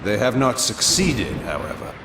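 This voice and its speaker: An actor voicing a proud, sardonic male vampire warlord in a video game, cold and imperious, with a theatrical, deliberate delivery. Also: lowish voice, big pitch swings, gravelly, echoey room, noisy background. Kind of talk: scripted video game cutscene acting.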